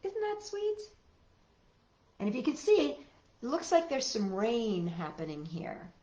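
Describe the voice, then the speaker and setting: A woman with a high voice leads a storytime for small children. She takes her time, gently encouraging them and asking little questions as she goes. The sound is roomy and echoing. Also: huge pitch swings, unhurried. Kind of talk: children's read-aloud and sing-along, chatting to young viewers. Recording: roomy echo, slightly dull sound, guitar music.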